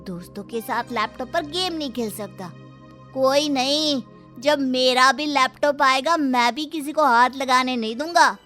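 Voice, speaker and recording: A woman's voice: high voice, a little slow, sharp tone, faint music behind. A woman voicing a sulky, demanding, whiny schoolboy in a cartoon story. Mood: resentful.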